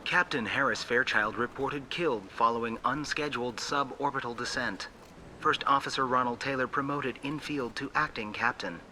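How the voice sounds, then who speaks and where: An actor voicing an emotionless, formal, precise emergency beacon computer reciting logs in a science fiction game. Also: male voice, medium pitch, normal speed, bright, forceful tone, slight background noise, rough recording. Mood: impassive, clinical. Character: impassive male-voiced ship beacon computer reciting incident logs. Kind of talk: automated log readout.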